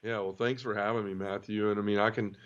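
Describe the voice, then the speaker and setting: A low-pitched man with a Southern Memphis accent tells his story in an easygoing, chatty way. His voice comes through a dull, muffled lo-fi line. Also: fast talker. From Memphis, Tennessee. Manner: relaxed storytelling with frequent fillers and easy laughs. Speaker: interview guest. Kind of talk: personal testimony in conversation.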